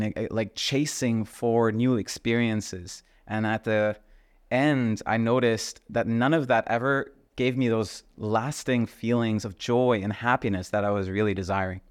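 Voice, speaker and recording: A man hosting a podcast, speaking earnestly and gratefully, with halting restarts and fillers. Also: on the low side, dry recording, clean studio sound. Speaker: podcast host. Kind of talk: casual interview.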